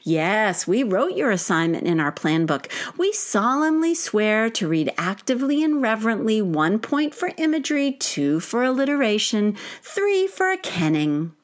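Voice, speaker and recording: A middle-aged woman, an author, reading her own essay as scripted narration with expressive, deliberate phrasing. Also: on the high side.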